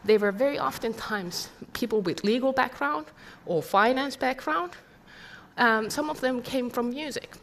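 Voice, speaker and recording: A woman's voice: fairly high pitch, measured pace, fairly bright tone. A middle-aged woman from Finland with a Finnish accent, giving an earnest conference presentation full of rhetorical questions. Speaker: conference speaker.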